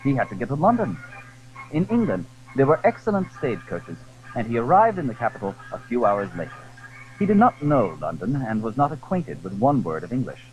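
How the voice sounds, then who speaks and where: A man's voice: mid-range voice, very animated, a little fast, low-quality recording. A man narrating a classic adventure novel in dramatic, scripted storytelling style.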